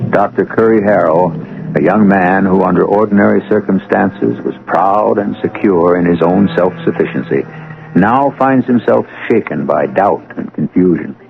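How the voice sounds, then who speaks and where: A man hosting a radio mystery drama, narrating in a grave, deliberate, theatrical way. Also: low voice, gravelly, phone-quality sound. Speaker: host and narrator. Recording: band-limited old radio broadcast, music behind. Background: music.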